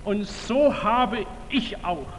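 A man preaching a sermon to a large audience, slow and emphatic with fervent declamation and wry humor. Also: sits high, lively intonation, slight background noise. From Württemberg, Germany. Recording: reverberant hall, faint ambience.

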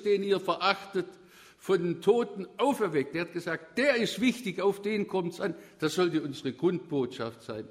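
A man's voice: on the high side, slightly rough. A man with a Swabian accent preaching a sermon, emphatic and at times rising to fervent proclamation. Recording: long reverb of a church.

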